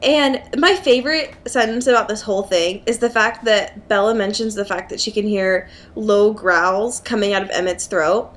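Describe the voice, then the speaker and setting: A woman's voice: mid-range voice, normal speed, few pauses. A young woman co-hosting a podcast, joking and giggling through a casual, irreverent book discussion. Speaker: podcast co-host.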